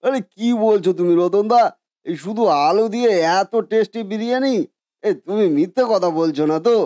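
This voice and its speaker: A man acts out an excitable village customer in a cartoon story. The voice is high for a man and dryly recorded, with folksy, exclamatory, incredulous phrasing. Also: a little fast, no echo, clean studio sound, close to an everyday voice. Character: excitable male village customer, nosy and easily amazed. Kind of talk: Bengali cartoon story voice acting.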